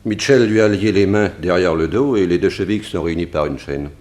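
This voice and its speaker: An actor playing a sober, wary prison officer who reports gravely and matter-of-factly in a 1960s French radio drama. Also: male voice, a little fast, darkish tone. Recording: faint rumbling noise, old radio production.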